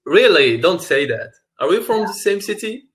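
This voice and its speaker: A man's voice: high-pitched, sing-song, normal speed, a bit bright. A young man from Morocco chatting casually on a video call, friendly and laughing often. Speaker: English learner.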